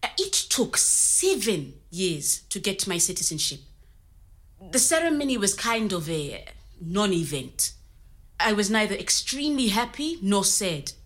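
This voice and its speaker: Audio drama role: woman immigrant, mid-pitched, frank, telling her story as if interviewed. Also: very animated, a little slow, fairly bright tone. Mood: weary, frustrated. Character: Zimbabwean woman immigrant in Nottingham, candid and resilient. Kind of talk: scripted oral-history audio drama told as interview.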